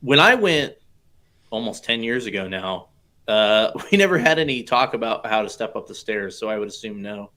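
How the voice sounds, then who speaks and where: A man's voice: on the low side, animated, moderate pace, bright, forceful tone. A man co-hosting a casual livestream, asking questions and thinking ideas through aloud.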